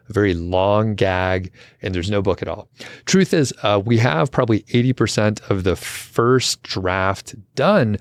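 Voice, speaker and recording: Man, podcast host, low voice, a bit gravelly, rambling chatty tangents, dry close sound. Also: no room sound. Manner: rambling, self-deprecating, tangent-prone. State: slightly gravelly from overuse. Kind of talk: casual solo intro chat.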